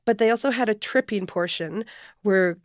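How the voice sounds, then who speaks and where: An expressive woman in her late thirties or forties, an outdoor guide telling stories as a podcast guest. Her pitch sits mid-range, and the recording is dry and close, with no room echo. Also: moderate pace. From born in Ecuador, raised in Minnesota. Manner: expressive, animated storyteller. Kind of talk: podcast interview, storytelling.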